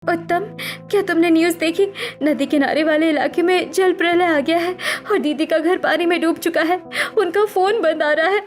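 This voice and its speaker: Determined young daughter-in-law for a cartoon drama, played by a woman: high voice, urgent, caring, music underneath. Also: moderate pace. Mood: resolute. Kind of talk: dramatic Hindi cartoon story acting.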